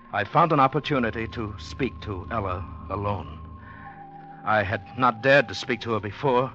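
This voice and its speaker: A man's voice: medium pitch, a bit bright, lightly acted. A man acting a nervous, earnest, lovestruck poet in a 1940s radio drama, speaking hesitantly. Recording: muffled old radio recording with music.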